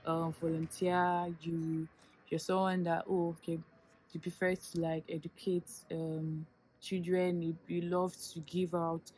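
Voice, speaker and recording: A woman's voice: fairly low pitch, little pitch movement, a little slow, darkish tone, soft background music. A Nigerian woman in her twenties, a Yoruba speaker, giving earnest, chatty advice in a vlog with many fillers and restarts. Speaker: YouTuber giving application advice.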